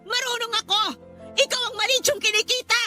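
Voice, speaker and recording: A woman's voice: very high voice, fairly even intonation, fairly quick. A woman acting an embittered, nagging mother of a poor household in a radio drama, scolding and accusing. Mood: resentful, angry. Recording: music behind the voice. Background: music.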